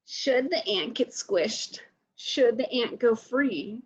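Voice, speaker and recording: A woman hosting a children's storytime, reading and chatting deliberately and putting questions to young listeners. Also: a little high, lively intonation, husky, lo-fi sound. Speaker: children's librarian storytime host. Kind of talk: children's read-aloud and chat.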